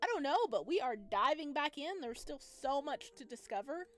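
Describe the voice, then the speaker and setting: A woman's voice: high-pitched, big pitch swings, normal speed, faint music behind. A woman giving casual, chatty let's play commentary as she plays a story game. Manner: chatty, informal. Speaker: gamer playing and reacting to a visual novel.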